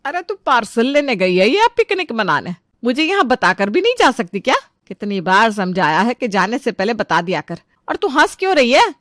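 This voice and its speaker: A woman's voice: fairly high pitch, sing-song, moderate pace, a bit bright, no echo, studio quality. An actress playing an exasperated middle-aged mother-in-law, sarcastic and nagging, in a comic family story. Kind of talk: animated family comedy story acting.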